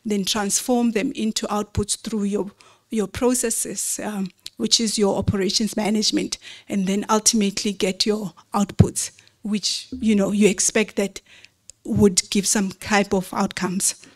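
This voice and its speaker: A woman giving an explanatory slide presentation at a convention, in a measured, didactic way with rhetorical questions. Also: medium pitch, flat delivery.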